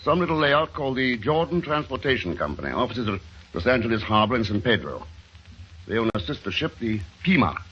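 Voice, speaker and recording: Vintage radio lead: brusque investigator, dry and impatient, bright forceful tone, dull recording with faint hiss. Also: male voice, mid-range voice, very animated, a little fast. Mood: businesslike. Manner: curt, dry. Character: brusque, unflappable male investigator, dryly impatient. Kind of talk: 1940s radio acting. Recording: muffled, faintly noisy old radio recording.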